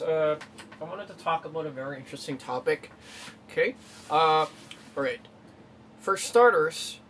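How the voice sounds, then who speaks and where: A young man from the Philippines, vlogging casually in a rambling way, with many fillers and restarts. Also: on the high side. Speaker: YouTuber. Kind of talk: casual vlog talk to camera. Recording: distant mic with faint room noise.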